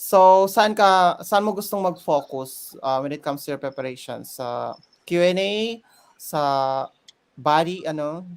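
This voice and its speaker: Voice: medium pitch, expressive, measured pace, smooth. A host, running a live chat interview, switching between English and Tagalog with many fillers.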